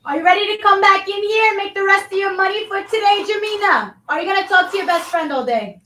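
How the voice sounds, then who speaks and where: A woman's voice: extremely high pitch, fast. A woman acting an impatient, sarcastic, bossy overseer in a staged play reading, with a demanding manner. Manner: demanding, sharp. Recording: echoey, far from mic.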